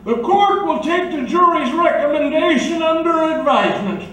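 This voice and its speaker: Man playing a very high-pitched pompous judge. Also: animated, moderate pace. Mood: stern, formal. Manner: formal, declamatory. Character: pompous, stern courtroom judge. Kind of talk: formal old-time radio drama acting. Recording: very echoey, distant, heavy noise.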